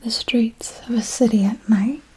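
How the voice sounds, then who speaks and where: A woman playing a refined, predatory vampire mentor in an ASMR roleplay, whispering in a slow, measured, intimate way. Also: a little high, fairly even intonation, warm tone, poor audio. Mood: coolly instructive. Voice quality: breathy whisper. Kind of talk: ASMR roleplay, scripted monologue.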